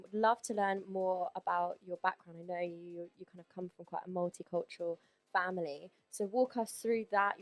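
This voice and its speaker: A woman hosting a casual podcast interview, conversational and encouraging, with frequent affirmations. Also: fairly low pitch. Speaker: podcast host.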